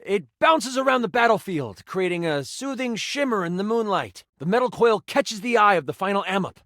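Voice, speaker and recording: A man's voice: sits high, lively intonation, normal speed, fairly bright tone, no echo, clean studio sound. A man playing a playful game master, narrating a fantasy tabletop adventure in a theatrical, dramatic storytelling style. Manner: theatrical, second-person storytelling.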